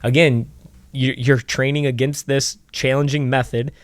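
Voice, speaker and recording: A man co-hosting a podcast as a basketball trainer, talking loudly with lots of fillers and restarts. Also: medium pitch, flat delivery, unhurried, no echo. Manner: loud, rambling with many fillers and restarts. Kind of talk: casual podcast chat.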